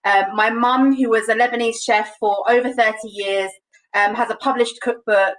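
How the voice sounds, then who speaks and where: Young London woman hosting a home cooking stream; casual and chatty, higher voice, set back from the webcam. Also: steady tone, normal speed, a little hiss or hum. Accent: London English. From London, England. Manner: chatty, many ums, light laughs. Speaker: cooking show presenter. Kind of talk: casual live cooking demo. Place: home kitchen, indoors. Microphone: webcam on a video call. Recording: distant webcam mic in kitchen.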